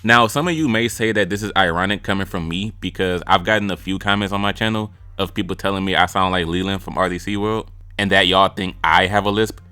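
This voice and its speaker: Slangy young man with bright, dry voice. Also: low-pitched, fairly quick, few pauses, clean tone, no room sound. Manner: blunt, slangy and joking; mimics rappers' lines. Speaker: YouTube music reviewer. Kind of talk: casual commentary ranking freestyles.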